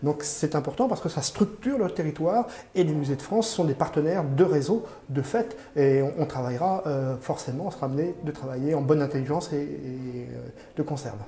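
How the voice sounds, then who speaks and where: A man giving formal, measured explanations in an interview as a museum curator, often pausing mid-phrase as he searches for words. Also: a little high, dark tone. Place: indoor room in a museum. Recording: roomy, echoing indoor sound.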